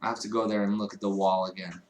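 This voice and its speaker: A man's voice: on the low side, little pitch movement, fast talker, darkish tone. Profane rambling male livestream co-host. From Chicago, United States. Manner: rambling, profane, laughs often. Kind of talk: casual chat. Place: home streaming studio.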